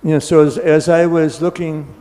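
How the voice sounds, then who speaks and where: An older man giving an informal scripture class, talking loudly and in a halting, rambling way full of ums and you-knows. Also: on the high side, fairly even intonation, big-room sound. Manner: loud, says he has a loud voice.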